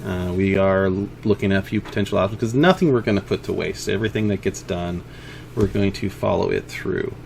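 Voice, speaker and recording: A man giving an informal, unscripted progress update as an indie game developer, speaking in a halting, rambling way. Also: low voice, vocal fry, a little mellow, a little hiss or hum.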